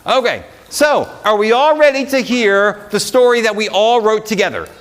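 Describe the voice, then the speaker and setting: Man, storyteller reading live, very high voice, lively swooping intonation, theatrical, faint background noise. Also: moderate pace. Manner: animated, theatrical delivery. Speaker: librarian storyteller. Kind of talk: live storytime read-aloud of a comic rhyming poem.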